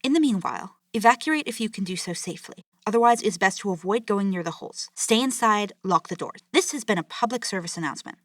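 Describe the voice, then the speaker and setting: A woman's voice: mid-range voice, lively intonation, fast talker, few pauses, a bit bright, no echo, studio quality. A woman playing a grave, formal news anchor delivering urgent bulletins in an audio drama. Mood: weary urgency. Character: grave female news anchor reporting a city's collapse.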